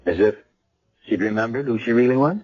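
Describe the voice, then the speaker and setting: A man's voice: mid-range voice, brisk, lightly acted. A worried senior American doctor, acted by a man in a radio play. He is principled and insistent, with troubled hesitations. The sound is dull and muffled like a vintage broadcast. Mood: suspicious. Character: worried, principled male hospital chief of staff. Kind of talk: radio drama acting.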